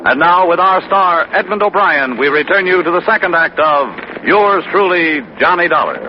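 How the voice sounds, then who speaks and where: A man's voice: high voice, lively intonation, normal speed. An American man announcing on 1950s radio, reading scripted copy with polished, upbeat salesmanship. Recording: muffled, noisy 1950 radio broadcast.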